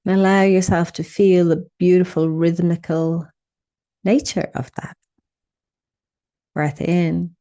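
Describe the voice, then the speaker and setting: Low, mellow woman guiding meditation. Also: vocal fry. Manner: soothing, unhurried, gently instructing with pauses. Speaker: guided meditation host. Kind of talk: guided meditation.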